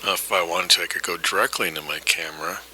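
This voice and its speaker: Faint noise sits around a man's low-pitched, very bright and forceful voice. He talks off the cuff like a hobbyist showing his gear, wandering from thought to thought in a tentative, self-deprecating way. It sounds captured on a vintage recorder microphone. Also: steady tone, fast talker. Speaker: hobbyist vlogger demonstrating vintage audio gear. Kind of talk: casual unscripted demonstration, thinking aloud. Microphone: old reel-to-reel recorder microphone. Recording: noisy vintage tape-recorder microphone, hiss.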